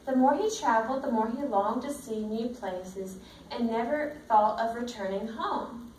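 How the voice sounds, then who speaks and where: Female teacher, storybook read-aloud, higher-pitched voice, roomy sound with faint noise. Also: measured pace, darkish tone. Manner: checks understanding with simple questions. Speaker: teacher reading a picture book to children. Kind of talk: read-aloud with questions to young pupils. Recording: roomy, distant mic, faint background noise.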